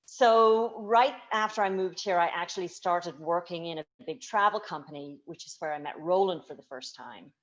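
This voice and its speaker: A woman's voice: lowish voice, moderate pace, few pauses, highs cut off. A woman from the south of Ireland with an Irish accent, telling her story with light humour in an interview. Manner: easy, lightly humorous storytelling. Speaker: guest, travel company co-founder.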